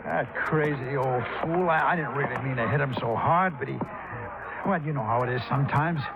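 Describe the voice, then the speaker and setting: A man's voice: medium pitch, normal speed, gravelly, loud music behind the voice, close to an everyday voice. An actor playing a jumpy, irritable male gambler with a blustery, stammering manner in a 1950s radio drama. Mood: confiding. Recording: muffled, noisy vintage radio recording.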